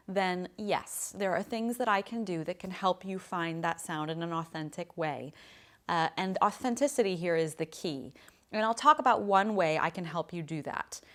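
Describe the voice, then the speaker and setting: A woman's voice: lowish voice, a bit bright. A woman voice coach explaining a question in a friendly, thoughtful and plain-spoken way. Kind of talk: casual explanatory lesson to camera.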